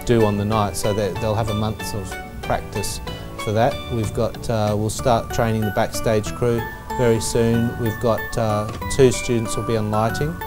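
A man's voice: on the low side, normal speed, hardly any pauses. A man who teaches drama at a school, explaining the production plainly with some fillers. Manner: matter-of-fact, steady with fillers. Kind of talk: interview explanation. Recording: music playing behind.

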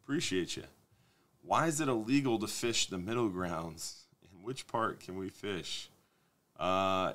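A man in his thirties hosting a live fishing Q&A stream from a studio desk, reading viewer questions and answering them in a relaxed, conversational way. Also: lowish voice. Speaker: fishing show host. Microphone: desk microphone on stand.

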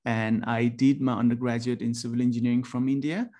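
A man from Nepal in his forties, a university lecturer giving a presentation over a video call, talking haltingly with many ums and restarts. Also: mid-range voice, little pitch movement, mellow. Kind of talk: webinar presentation.

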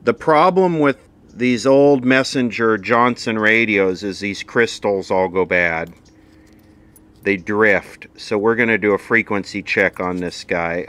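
Man, low-pitched, very unhurried, casual repair commentary, dry and sardonic. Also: a bit bright. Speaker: vintage electronics repair host. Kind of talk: casual off-the-cuff bench commentary.

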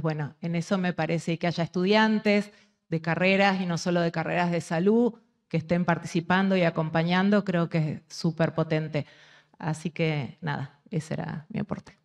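A woman's voice: fairly low pitch, highs cut off. A woman from Argentine Patagonia speaking from the audience at a conference, earnest and thinking aloud with restarts. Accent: Argentine Spanish. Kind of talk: spontaneous comment at a panel. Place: university conference hall.

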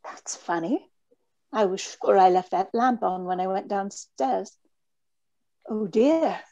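A woman's voice: medium pitch, slow, mellow, dull audio. A woman playing a frightened, earnest young lady in an old-time radio mystery, with a breathless, hesitant manner. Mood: uneasy. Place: indoor room on a video call.

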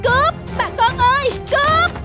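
High-pitched, excitable young cartoon working woman. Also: normal speed. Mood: distressed. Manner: emotive, exclamatory. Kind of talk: animated cartoon dubbing. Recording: loud music under the dialogue. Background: loud music.

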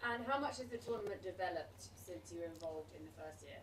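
A woman's voice: on the low side, expressive, fairly quick. A woman moderating a press conference, asking players questions in a friendly, chatty way. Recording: distant mic with faint room noise.

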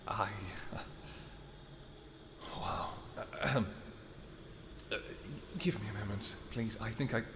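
A man voicing a protective royal vampire husband in a scripted audio roleplay, soft-spoken and affectionate, with hesitant pauses. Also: fairly low pitch, background noise. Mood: tender.

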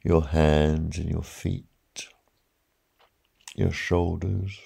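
A man leading a guided sleep hypnosis as a hypnotherapist, speaking in a soothing, hushed, unhurried way with gentle repeated cues. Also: a bass voice, level tone, creaky, slightly rough. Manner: slow, soothing, hushed delivery with repeated relaxation cues and counting. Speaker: hypnotherapist, channel host. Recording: background music, echoing reverb on layered voice.

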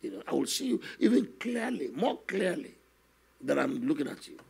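An older man, a guest minister telling his testimony slowly and earnestly in a studio interview, often adding "you know". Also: a little high, very animated, darkish tone. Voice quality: gravelly, husky. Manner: slow, earnest storytelling with many "you know" asides. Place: television studio, seated on a set. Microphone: stand microphone in front of him.

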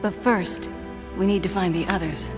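A woman's voice: fairly low pitch, steady tone, normal speed, music in the background, lightly acted. An actress playing a mysterious, guarded female agent in a video game, clipped and controlled. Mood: cool, guarded. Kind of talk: video game cutscene acting.

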